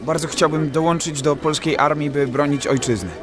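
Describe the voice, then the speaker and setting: A man's voice: fairly high pitch, fairly even intonation, normal speed, lightly acted. A man playing an eager, nervous sixteen-year-old boy who is hesitant and deferential, in a children's audio drama. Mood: earnest.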